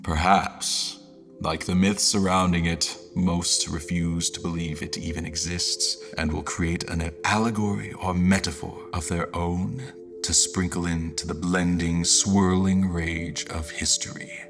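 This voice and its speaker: A man giving scripted, dramatic story narration in a measured, solemn way, with music under his voice. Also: sits low, flat delivery.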